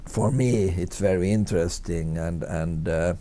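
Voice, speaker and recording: A man's voice: low-pitched, dark tone. A middle-aged man from Finland whose first language is Swedish, speaking English as an interview guest with frequent repeats and restarts. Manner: halting, many repeated words and restarts. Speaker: guest, tourism consultant. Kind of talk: conversational interview answers.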